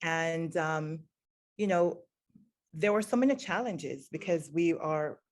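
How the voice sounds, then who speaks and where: Low-voiced Jamaican-born woman, earnest. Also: takes it slowly. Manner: earnest, reflective, many fillers. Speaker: guest, teacher. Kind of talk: interview answers.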